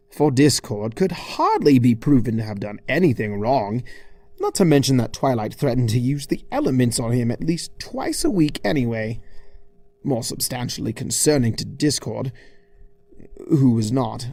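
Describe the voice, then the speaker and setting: Animated male storyteller with creaky edges. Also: mid-range voice, no echo. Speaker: fan fiction reader. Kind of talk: comic storybook narration, scripted.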